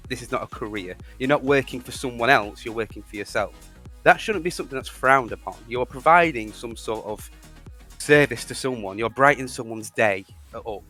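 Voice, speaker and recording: A man's voice: medium pitch, pushed and bright. A man hosting a casual podcast discussion, speaking earnestly and repeating phrases for emphasis. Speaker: podcast host.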